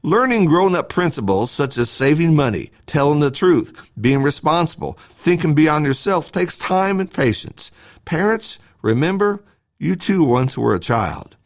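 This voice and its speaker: A man reads a scripted devotional talk as a church podcast host, in a measured, instructive way with short emphatic phrases. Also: fairly high pitch, animated.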